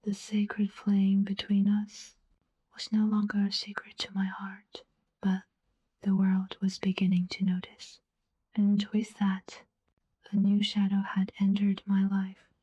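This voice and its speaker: Female narrator for an intimate story: unhurried whisper, solemn and wistful, flat melody, slightly muffled lo-fi sound. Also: mid-range voice, slightly rough. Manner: slow, hushed, solemn delivery with deliberate pauses. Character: female ancient Greek poet telling of forbidden love, tender, wistful. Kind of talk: whispered first-person literary narration, ASMR-like.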